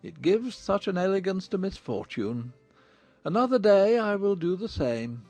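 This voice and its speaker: An actor playing a dry, sardonic middle-aged gentleman father in a dramatized audiobook, speaking deliberately. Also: sits high, big pitch swings, no room sound, soft background music, heavily acted. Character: dry, self-reproaching older English gentleman father.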